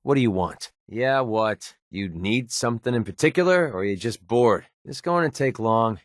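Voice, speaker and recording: A man's voice: medium pitch, expressive, moderate pace, no room sound, studio quality, lightly acted. A man playing a sardonic but loyal young mercenary companion in a video game, with a casual, wry delivery. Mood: annoyed.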